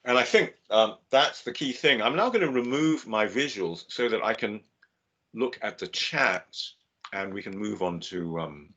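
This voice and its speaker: A man giving a measured, steady webinar lecture over a video call. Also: lowish voice. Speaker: guest lecturer. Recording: dull lo-fi video call audio.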